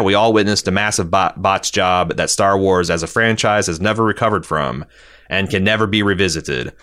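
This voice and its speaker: A man's voice: lowish voice, a bit bright. A man hosting a podcast, giving casual, rambling fan commentary and reading out feedback, repeating phrases for emphasis. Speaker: podcast host.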